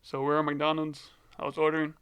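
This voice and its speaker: A man's voice: fairly high pitch, completely flat delivery, brisk, a bit bright, clean tone. A young man with a stutter telling stories as a guest in a casual podcast chat, often repeating words.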